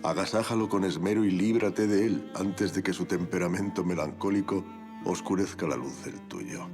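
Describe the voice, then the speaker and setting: An actor plays a worldly, affectionate aristocratic father. He delivers a letter formally and wryly for a period audio drama. Music plays clearly beneath him. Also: sits low, a little mellow, natural voice. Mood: fond, wry. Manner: formal, measured, dryly wry.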